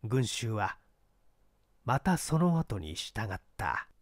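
A man reading literary mystery fiction aloud in a measured, theatrical storytelling manner. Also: fairly low pitch, big pitch swings, no echo, studio quality. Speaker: audiobook reader and small-theatre actor. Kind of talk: dramatized literary mystery narration.

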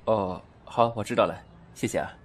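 A man playing a suave businessman coaxing his estranged lover in an audio drama, smooth and teasing. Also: on the low side, moderate pace, background noise, close to an everyday voice. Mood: polite. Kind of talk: Chinese amateur audio drama acting. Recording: music behind the voice.

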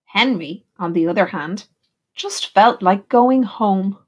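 A woman teacher reading a picture book aloud in an expressive, deliberate way for young children. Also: medium pitch, husky, highs cut off. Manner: animated, deliberate, puts questions to young listeners. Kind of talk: expressive storybook narration for children.

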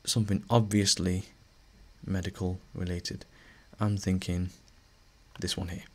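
Lowish guy teacher doing a screen tutorial, bit hoarse, lots of ums and restarts. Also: unhurried. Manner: relaxed, thinking aloud with fillers and restarts. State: losing his voice.

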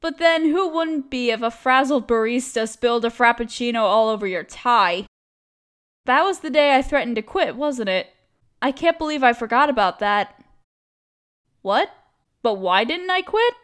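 High-pitched girlish young barista acted by a woman, cute, friendly, chatty, teasing, expressive, casual audio roleplay. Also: normal speed, clean tone. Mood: embarrassed, playful. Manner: expressive, playful complaining. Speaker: voice actress. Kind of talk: scripted one-sided audio roleplay, casual chat.

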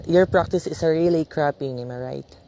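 A man's voice: a little high, brisk, mellow. A man on a farm tour asking polite, formal questions. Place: outdoors, away from the mic.

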